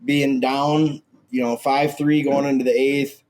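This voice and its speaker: A man's voice: medium pitch, little pitch movement, a little slow, darkish tone. A young man in his twenties, from Minnesota, co-hosting a casual sports chat. His voice is a little scratchy and his delivery is brash and loose, full of fillers and the odd curse word. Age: 20s. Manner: brash, swearing, rambling with many fillers. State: slightly scratchy voice. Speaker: podcast co-host.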